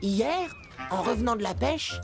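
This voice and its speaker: A cheerful little boy penguin for a children's cartoon. The voice is high and swings expressively, yet takes its time. It sounds curious and playful, with music underneath. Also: expressive, measured pace. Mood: cheerful, explaining. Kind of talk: French children's cartoon narration and dialogue.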